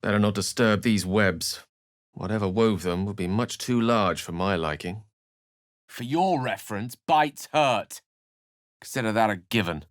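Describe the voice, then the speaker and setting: A man's voice: lowish voice, unhurried, no echo, clean studio sound, close to an everyday voice. A man voicing an eloquent, bookish wizard in a fantasy video game, wry and courteous, with articulate, dramatic phrasing. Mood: wary, then wry, musing. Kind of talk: theatrical fantasy game dialogue and storybook-like narration.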